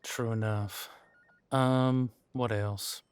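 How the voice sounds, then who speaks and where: A man playing an easygoing veteran police officer in an audio roleplay, chatting casually and candidly with thoughtful pauses. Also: medium pitch, fairly even intonation, clean tone, no echo. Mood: candid, matter-of-fact. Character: easygoing veteran male supernatural police officer showing newcomer around. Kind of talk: audio roleplay acting, one-sided conversation.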